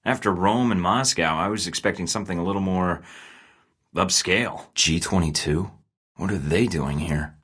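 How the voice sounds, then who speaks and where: A sardonic secret agent, his voice very low yet bright and pushed forward. He trades dry, sarcastic quips in clean studio game voice lines. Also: moderate pace, husky. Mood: wry. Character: wry male spy agent, adult. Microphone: studio mic.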